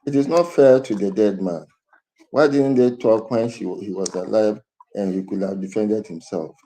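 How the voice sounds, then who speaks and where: A man giving his opinion as a news panellist, halting, with stammered restarts and repeated words. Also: lowish voice, mellow, a little hiss or hum, rough recording.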